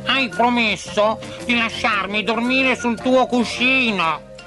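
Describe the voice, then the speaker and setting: Man voicing a needy storybook frog, slow and imploring. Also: very high voice, over music, pitched above the performer's own voice. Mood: insistent. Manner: drawn-out, imploring delivery. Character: pleading, sad-eyed enchanted male frog, needy and insistent. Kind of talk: storybook character acting.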